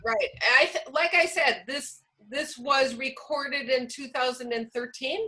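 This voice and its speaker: A woman presenting an online teacher training, speaking loudly and encouragingly as she explains and answers questions informally. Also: sits high, unhurried, a little hiss or hum. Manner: loud, projected, encouraging. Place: online video call.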